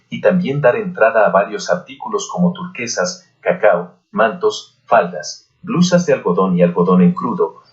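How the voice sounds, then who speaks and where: Man, audiobook reader, lowish voice, scripted historical narration, dull lo-fi recording.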